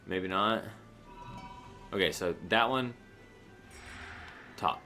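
A man's voice: low voice, very slow, pushed and bright, soft background music. A man giving casual, sarcastic, sweary gaming commentary as a co-host. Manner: sarcastic, profane. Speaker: let's play co-host.

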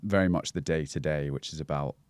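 A man in his thirties with an extremely deep voice, speaking in a calm, measured, considered way. He explains things at an easy pace on a close studio mic. The sound is dry and close. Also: no echo. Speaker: breathwork guide, podcast guest. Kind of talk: casual explanatory interview talk. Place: small studio lounge set. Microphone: boom-arm studio mic.

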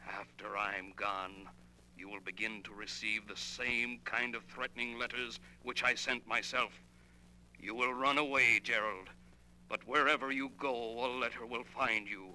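A man in a 1940s radio drama playing a coldly vengeful man, speaking deliberately and with menace. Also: medium pitch, steady tone, sharp tone. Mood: cold, menacing. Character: vengeful dead man coldly reading out his plan. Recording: noisy, humming old radio recording.

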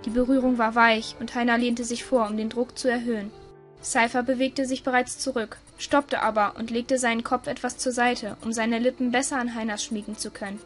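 A woman's voice: a little high, level tone, brisk, faint music behind. A woman reading scripted story narration for an audio drama.

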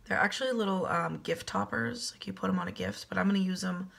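Chatty woman with a gravelly, worn voice. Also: mid-range voice, fast, a bit bright. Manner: chatty, self-deprecating, upbeat. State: losing her voice. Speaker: home decor vlogger. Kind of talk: casual haul vlog. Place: home kitchen, indoors. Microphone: webcam at desk distance.

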